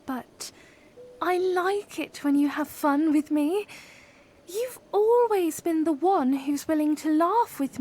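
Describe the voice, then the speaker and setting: Audio drama princess, woman actor: shy, earnest, halting formal speech, very high pitch, slow delivery. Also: a little hiss or hum, heavily acted. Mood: warm, earnest. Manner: hesitant, halting, polite formal phrasing. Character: earnest, shy young princess, playful and polite. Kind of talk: fan audio drama acting.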